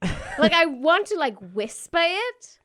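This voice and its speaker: A woman's voice: very high-pitched, very animated, unhurried, fairly bright tone, dry recording, clean studio sound. A woman chatting casually as a cast member in a panel Q&A.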